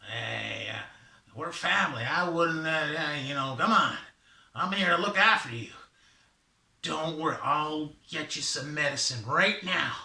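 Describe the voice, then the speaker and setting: A man voicing a brash, smooth-talking raven who poses as a reassuring dentist in a children's story. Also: a little high, expressive, unhurried, bright, forceful tone, pitched above the performer's own voice, exaggerated voice. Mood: confident, reassuring. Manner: smooth-talking, emphatic, salesman-like patter. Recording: roomy echo, distant mic.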